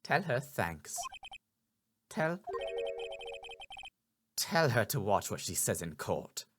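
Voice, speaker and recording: A man's voice: lowish voice, sing-song, slow. A man plays a proud video game prosecutor who keeps his feelings guarded. He is curt and reserved, with light music behind him. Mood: curt, embarrassed.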